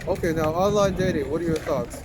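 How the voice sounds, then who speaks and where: A man's voice: on the high side, brisk, very noisy. An American man casually interviewing someone with short, informal prompting questions. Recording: loud music over the voice.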